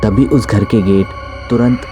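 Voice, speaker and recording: A man's voice: on the low side, steady tone, measured pace, warm tone, soft background music. A man narrating a scripted horror story as its storyteller.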